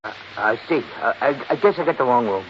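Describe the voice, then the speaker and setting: A man's voice: mid-range voice, animated, fairly quick, natural voice. An actor playing a shifty, flustered male stranger in a 1950s radio drama, stammering and repeating himself evasively. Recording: dull, noisy 1950s radio recording.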